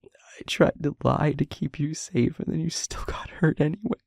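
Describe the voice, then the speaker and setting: A man playing a tormented, remorseful demon in love, in an intimate audio roleplay, speaking haltingly in a breathy, husky, often whispered voice and pleading. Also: on the high side, darkish tone, no echo, studio quality. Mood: anguished, guilty. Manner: slow, halting, emotionally pleading.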